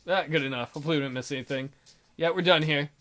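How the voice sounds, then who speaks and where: A woman's voice: low-pitched, fast, a bit bright, a little hiss or hum. A woman doing a game playthrough, reading text aloud and adding wry, sarcastic asides. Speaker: let's player. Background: game music.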